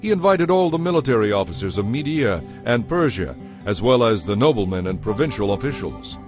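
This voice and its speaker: A man's voice: medium pitch, very animated, brisk. A man narrating a dramatized audio Bible in a scripted, storytelling style. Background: music.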